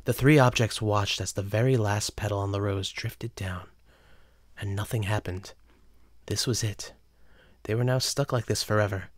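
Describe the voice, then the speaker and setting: A man narrates a dramatic story from a script. His voice is fairly low and the recording is dry and close. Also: dry recording.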